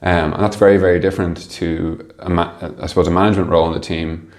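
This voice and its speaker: A man answering interview questions conversationally, haltingly thinking aloud as he explains his work as a manager. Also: low voice, little pitch movement, a little mellow. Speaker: interview guest, team manager.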